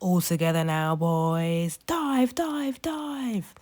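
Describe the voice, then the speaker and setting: A woman's voice: on the low side, very animated, takes it slowly, darkish tone, dry recording, pitched above the performer's own voice, full character voice. A woman voicing pesky storybook seagulls with squawky, exclamatory calls. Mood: rallying.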